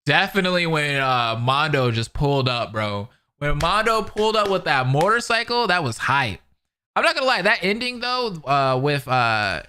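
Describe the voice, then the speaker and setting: A man's voice: medium pitch, sharp tone, clear, resonant tone. An American man chatting casually with livestream viewers in a slangy, laid-back, conversational way. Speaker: streamer, reaction commentator. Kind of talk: casual livestream chat with viewers, reading out comments.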